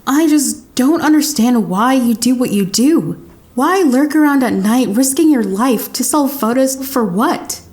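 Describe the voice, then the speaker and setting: A woman's voice: sits high, lively intonation, a little slow, darkish tone. A woman playing a hero's earnest, exasperated young girlfriend in an audio drama. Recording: music under the voice.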